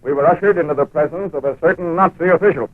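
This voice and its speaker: A man's voice: on the high side, quick pace, no room sound, close to an everyday voice. Casting: male actor as firm, earnest embassy attaché and narrator, emphatic delivery, thin narrow-band 1940s radio sound. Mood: earnest narration. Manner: authoritative, emphatic.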